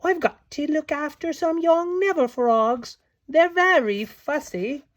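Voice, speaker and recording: A man's voice: very high voice, slow pace, no echo, studio quality, pitched above the performer's own voice. A man voicing a polite, busy little female fairy in a storybook, sweet and apologetic.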